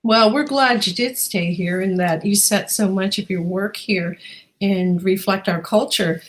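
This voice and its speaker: A woman's voice: medium pitch, a little slow. Woman hosting an author interview. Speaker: talk show host interviewing an author. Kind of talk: book show interview, introductions and questions.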